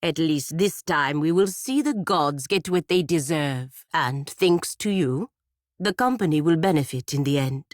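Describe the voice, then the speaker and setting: Proud fantasy warrior woman, stern patriot. Low, dry-recorded voice, measured and formal game dialogue. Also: no room sound. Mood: formal, reserved. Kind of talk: scripted video game character dialogue.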